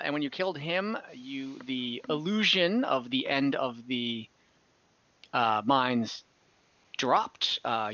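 Bright-voiced young man running a game. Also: medium pitch, no room sound. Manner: relaxed, rambling, frequent fillers and laughs. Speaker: game master. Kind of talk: narrating a tabletop game recap. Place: home room on a video call. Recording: dull, slightly lo-fi video call audio.

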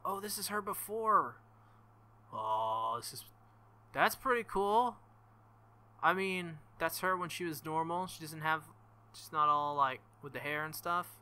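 A young man commenting casually on a visual novel, with joking, crude, excitable asides. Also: a little high. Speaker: let's-play host.

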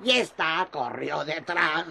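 A woman's voice: sits low, slow pace, gravelly, pushed and bright, slight background noise, full character voice. An actress playing an older widow who sells pastries in a radio drama, lamenting, teasing and gossipy, with a cackle in her manner.

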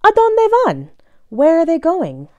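A woman reading a children's picture book aloud in a bilingual read-aloud style, expressively and deliberately, phrase by phrase. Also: very high voice, huge pitch swings, mellow, no echo, highs cut off.

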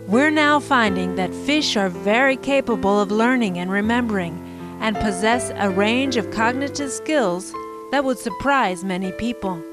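A woman reads documentary narration in a gentle, deliberate, measured way. Her voice sits a bit high. Music plays clearly beneath her. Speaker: program narrator. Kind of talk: slow scripted documentary narration.

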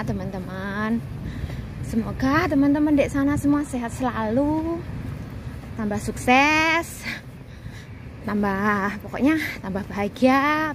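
A woman's voice: a little high, expressive, unhurried. A woman in her thirties with a Javanese accent, chatting casually to her viewers in a friendly vlog, filming on a phone at arm's length. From Indonesia. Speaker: vlogger, migrant domestic worker. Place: outdoors by a city street and park. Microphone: phone held at arm's length. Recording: phone recording with street noise.

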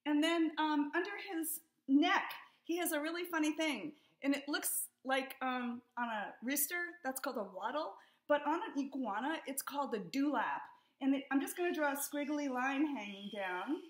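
A woman's voice: high-pitched, lively intonation, moderate pace. A middle-aged woman, a children's book author, giving a friendly, encouraging step-by-step drawing lesson for kids from her home. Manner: upbeat, encouraging, step-by-step explaining. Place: home room with high ceiling. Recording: echoey room.

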